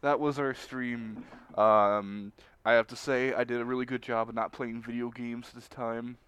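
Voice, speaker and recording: Smooth-voiced man chatting, dry close sound. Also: mid-range voice, level tone, unhurried, fairly bright tone, no room sound. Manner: rambling, giggly. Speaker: stream host. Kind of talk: casual livestream chat.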